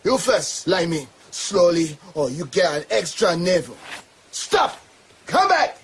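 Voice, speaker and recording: Threatening gangster guard, highish voice, very animated, barking, radio thriller, noisy background. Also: male voice, slow pace, full character voice. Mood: barking orders. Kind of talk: radio drama acting.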